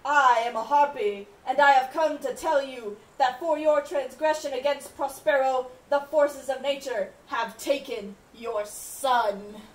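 A woman's voice: high-pitched, fairly even intonation, measured pace, few pauses. A young woman acting eager, playful puppet servants and youngsters in an amateur sock puppet show. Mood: menacing. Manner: playful, exclamatory. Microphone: phone camera at a distance. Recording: roomy, distant phone camera sound.

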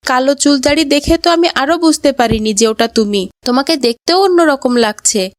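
A woman plays a young grandchild in a cartoon tale. The voice is high, excitable and earnest, with polite phrasing. It is recorded dry, without room sound. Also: normal speed, dry recording. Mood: puzzled. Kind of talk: cartoon moral story dialogue.

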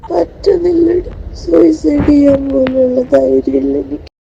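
Woman w kerala malayalam accent, hurting and upset, flat creaky voice, private voice note w some noise. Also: high-pitched, a little slow. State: distressed, in pain. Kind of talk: private voice message to a friend. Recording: dull, noisy played-back voice message.

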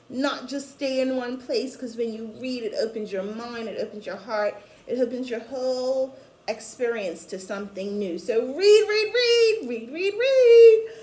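A middle-aged woman reading a storybook aloud to young children, with chatty asides, in an expressive, encouraging way. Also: fairly high pitch. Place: small room at home, indoors. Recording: echoey small room.

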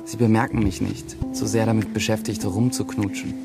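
A man's voice: fairly low pitch, level tone, a little fast, gravelly. An actor playing a self-righteous, self-important teenage boy loner, narrating and speaking in a crime radio play. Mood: wry. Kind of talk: first-person audio drama narration and dialogue in a crime radio play. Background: music behind the voice.

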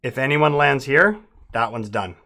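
A man's voice: mid-range voice, lively intonation, unhurried, bright, forceful tone. A middle-aged man casually explaining and coaching as he co-hosts an indoor board game playthrough. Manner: explanatory, instructing his co-player. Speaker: board game show co-host and player. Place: indoor room at a game table.

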